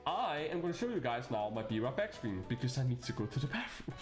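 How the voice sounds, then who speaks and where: A man's voice: medium pitch, fast, reverberant, soft background music. A young Danish man with a Danish accent, introducing himself as a VTuber in a chatty, joking way. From Denmark. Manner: chatty, animated, jokey with bursts of laughter. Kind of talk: casual self-introduction video.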